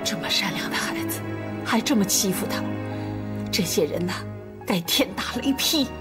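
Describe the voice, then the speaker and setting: A woman's voice: medium pitch, expressive, moderate pace, lightly acted. An actress playing a fierce, hot-tempered young woman in a TV melodrama, vehement and threatening. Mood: indignant, vengeful. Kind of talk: TV melodrama acting. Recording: dramatic music behind.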